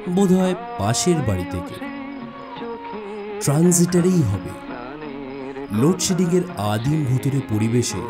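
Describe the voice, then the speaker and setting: A man narrating a scripted suspense story in a measured, dramatic way with deliberate pauses. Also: medium pitch, mellow, husky. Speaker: audiobook narrator.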